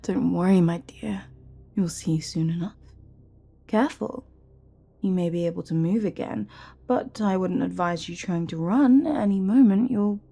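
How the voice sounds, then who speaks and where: A woman playing a scheming, smug evil royal advisor with magic in a fantasy audio drama, breathy and often near a whisper, taunting with mock courtesy. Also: fairly low pitch, warm tone. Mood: soft, menacing. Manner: theatrical, mock-courteous, taunting, drawn-out phrasing.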